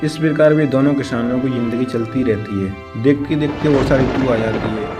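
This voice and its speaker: A man's voice: mid-range voice, moderate pace, over loud music. A man narrating a scripted moral story for children as its storyteller.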